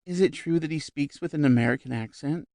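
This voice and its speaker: A man voicing a calm, solemn young woman with a dry, ironic wit, lifted well above his own pitch. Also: fairly quick, creaky, dry recording. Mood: grave.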